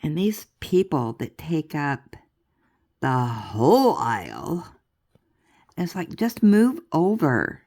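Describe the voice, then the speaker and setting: Slow, gravelly older woman chatting. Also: sits low, sing-song, darkish tone. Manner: rambling, chatty, laughs often. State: stuffy nose. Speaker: crafting vlogger. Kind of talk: casual chatty vlog.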